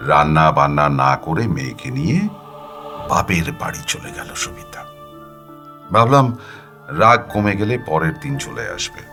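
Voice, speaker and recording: A man narrating a first-person story dramatically, with an expressive, weary, plaintive delivery. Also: rumbling low, unhurried, vocal fry, long pauses, music in the background. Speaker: audiobook narrator.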